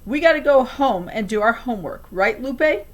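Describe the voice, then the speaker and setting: A woman's voice: fairly high pitch, lively intonation, normal speed, pitched above the performer's own voice, natural voice. A woman voicing an earnest, plucky schoolgirl who speaks politely, in a children's story. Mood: nervous.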